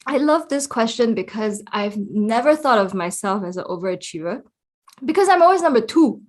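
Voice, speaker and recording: A woman's voice: on the high side, lively intonation, moderate pace. A Buddhist nun from Singapore, probably in her thirties, talking reflectively and laughing at herself on a podcast. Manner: reflective, self-deprecating, laughs often. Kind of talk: casual podcast conversation.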